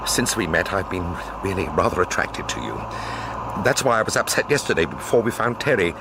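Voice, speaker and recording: Excitable British man over noisy backdrop. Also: deep voice, fairly quick. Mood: hesitant, awkward. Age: middle-aged. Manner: stammering, impulsive. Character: earnest, excitable, flustered middle-aged amateur sleuth. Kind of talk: radio drama acting.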